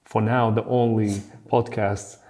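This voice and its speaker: Low, warm man, easygoing podcast guest. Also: flat delivery, a little slow. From Kazakhstan. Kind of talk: casual podcast chat.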